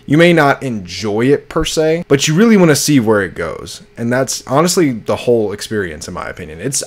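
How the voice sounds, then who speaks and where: A young adult man giving casual video commentary to camera, speaking bluntly with heavy swearing into a close desk microphone indoors. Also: fairly low pitch. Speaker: video reviewer of horror series. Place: bedroom, indoors. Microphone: close desk microphone, with headphones.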